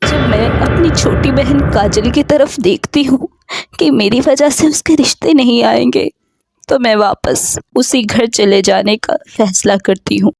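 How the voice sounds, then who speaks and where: A woman's voice: a little high, unhurried, gravelly, a little mellow, husky, dry recording, exaggerated voice. A woman playing a fearful young wife who narrates her own horror story in a dramatic scripted style. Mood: fearful storytelling. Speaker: first-person story narrator. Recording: background music under voice.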